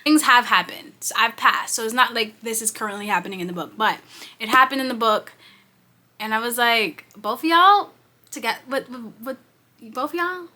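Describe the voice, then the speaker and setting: A woman's voice: fairly high pitch, normal speed, bright, forceful tone. A young woman in her twenties from New York, chatting casually and rambling through a reading vlog at home, sniffly and congested, recorded on her phone. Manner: chatty, slangy, rambling asides. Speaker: book vlogger. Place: at home, indoors. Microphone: phone camera.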